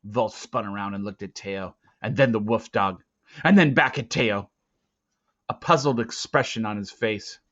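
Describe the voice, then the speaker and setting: A man narrating a storybook dramatically, swinging theatrically between hushed and loud delivery. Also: lowish voice, normal speed, dull audio. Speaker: reader of a children's novel.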